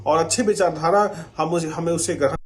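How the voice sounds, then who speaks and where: A man's voice: fairly high pitch, a little fast. A man speaking as an interviewee, giving his opinion to the news media.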